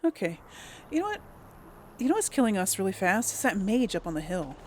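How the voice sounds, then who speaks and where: A woman's voice: mid-range voice, sing-song, a little fast, slight background noise. A woman giving casual video game commentary, thinking aloud in an exasperated way. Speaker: host playing a video game. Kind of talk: casual let's play commentary.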